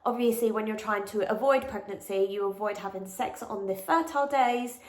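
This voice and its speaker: A woman's voice: medium pitch, normal speed, roomy sound. A pregnant English woman in her late twenties, bunged up with a cold and a bit out of breath, chatting frankly in a Q&A vlog with lots of ums. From England. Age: late 20s. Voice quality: bunged-up, nasal. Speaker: vlogger. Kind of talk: casual pregnancy Q&A.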